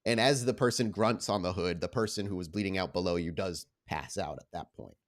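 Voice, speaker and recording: A man's voice: low voice, a little fast. A man working as a game master, improvising descriptive, conversational narration for a tabletop roleplaying game. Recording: background music.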